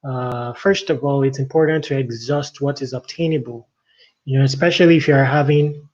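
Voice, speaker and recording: Middle-aged male livestream host, medium pitch, casual rambling commentary, halting phrasing, muffled lo-fi mic. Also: fairly even intonation. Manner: halting, rambling, frequent verbal tags. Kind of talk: casual tech news commentary to viewers. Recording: dull, lo-fi microphone sound.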